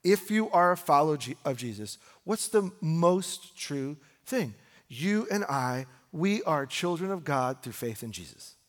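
A male American pastor with a slightly high voice preaches in a reverberant hall. His sermon is informal and conversational, built on rhetorical questions and phrases he repeats for emphasis. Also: sing-song, a little slow. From United States. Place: large reverberant room, preaching live.

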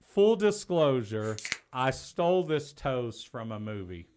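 A man's voice: medium pitch, sing-song, slow, darkish tone, a little hiss or hum. Joking middle-aged man, casual guest. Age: 40s. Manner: joking, teasing, playful swearing. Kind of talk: casual podcast chat over drinks.

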